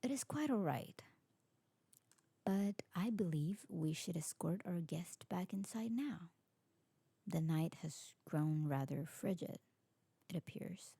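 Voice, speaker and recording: A woman's voice: on the low side, animated, slow pace, long pauses, warm tone, slightly rough, no echo, full character voice. A woman playing a curious, adventurous, newly turned vampire in an ASMR roleplay drama, speaking in a genteel, formal way. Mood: gentle. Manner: genteel, formal, lingering delivery.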